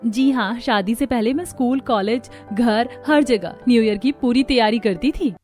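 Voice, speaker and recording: A woman's voice: fairly high pitch, moderate pace, a little mellow, lightly acted. A woman voicing a caring young housewife daughter-in-law in a cartoon story, speaking politely and earnestly, eager to explain herself. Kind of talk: Hindi cartoon story dialogue. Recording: music behind the voice.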